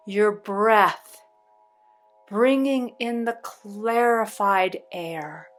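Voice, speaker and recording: A woman leading a guided meditation in a soothing, deliberate way with long pauses between phrases. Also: mid-range voice.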